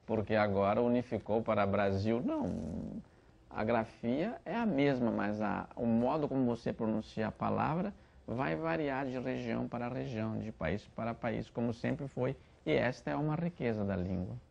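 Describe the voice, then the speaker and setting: A man's voice: medium pitch, normal speed, vocal fry, darkish tone. A male language expert giving an explanatory interview statement, building his point with examples. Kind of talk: interview testimony. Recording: dull lo-fi recording.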